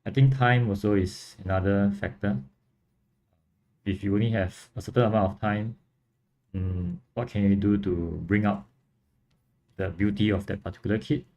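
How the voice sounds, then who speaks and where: A young man from Singapore with a Singaporean accent, casually chatting on a livestream and answering viewers in a relaxed, rambling way. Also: lowish voice, moderate pace, pauses often. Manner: relaxed, rambling, frequent fillers and restarts. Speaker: livestream host and model kit builder.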